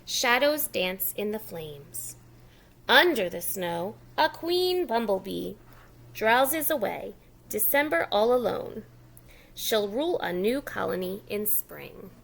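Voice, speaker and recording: Female preschool storytime reader: slow, very expressive delivery, lively pitch swings, encouraging asides for little listeners. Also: gravelly. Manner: expressive, encouraging, simple child-directed talk with prompts to repeat words. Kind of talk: children's picture-book read-aloud with asides to young listeners.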